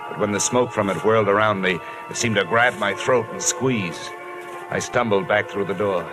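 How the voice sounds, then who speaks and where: A hard-boiled insurance investigator played by a man talks in a low, wry voice. His delivery is clipped and sardonic, in classic radio detective style. Music is clearly present behind him. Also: normal speed, lightly acted, poor audio. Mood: uneasy narration. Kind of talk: old-time radio detective narration and dialogue.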